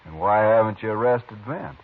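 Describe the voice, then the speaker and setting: An actor playing an angry, threatening Old West townsman, husky and blunt, in 1950s radio western style. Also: on the low side, animated, moderate pace. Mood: angry, accusing. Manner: blunt, defiant, folksy grammar. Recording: muffled 1950s radio recording.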